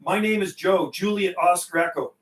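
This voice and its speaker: A man's voice: high-pitched, normal speed, roomy sound, recorded from a distance, noisy background. A middle-aged man chatting casually over CB radio on a livestream, folksy and given to repeating himself. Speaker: CB radio operator and livestream host.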